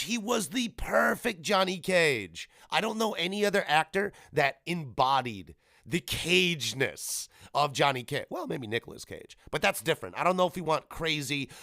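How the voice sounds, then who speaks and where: A male podcast host with a fairly high, bright and punchy voice, recorded dry. He rants casually on his own in an animated way. His delivery leans on exasperated emphasis and plenty of swearing. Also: no room sound. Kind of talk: casual solo rant reviewing movies.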